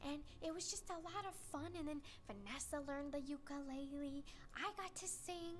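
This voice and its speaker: A young woman with a high voice performs in a comedy sketch. Her delivery is playful, and she complains dramatically for laughs. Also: moderate pace, a little hiss or hum. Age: young adult.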